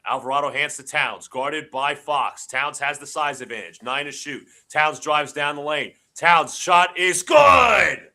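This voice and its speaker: A man calls a basketball game play by play for his live stream audience, his voice a little stuffy from allergies. The tone is bright and sharp, and he keeps up a quick, excitable running commentary that jumps to shouting when his team scores. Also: a little high, gravelly. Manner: excitable, shouts on big plays. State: congested from allergies. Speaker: livestream host doing play-by-play.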